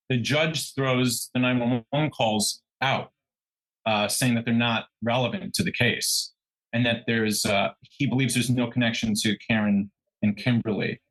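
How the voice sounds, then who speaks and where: A man's voice: mid-range voice, a bit bright, rough recording. Male true crime co-host. Conversational storyteller who stumbles, backtracks and corrects himself as he goes.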